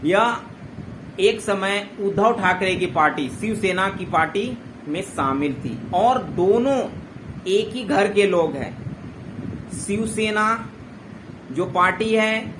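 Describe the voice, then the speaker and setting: Indian male presenter, higher-pitched, unhurried, instructive informal commentary over clearly audible noise. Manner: didactic, frequent check-in tags, mixes in English words. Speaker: presenter explaining a current-affairs issue. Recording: clearly noisy amateur recording.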